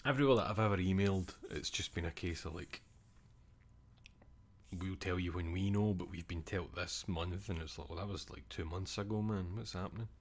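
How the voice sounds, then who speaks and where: A young man with a Scottish accent rambles through relaxed stream chatter. His voice sits very low, and he drops in casual swearing as he talks. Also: brisk. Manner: rambling, swears casually, reads chat aloud.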